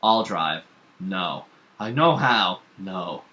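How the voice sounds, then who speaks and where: A man's voice: deep voice, animated, drawn-out words, sharp tone. A man talking as a podcast co-host in casual, opinionated pop culture chat, informal, rambling and emphatic.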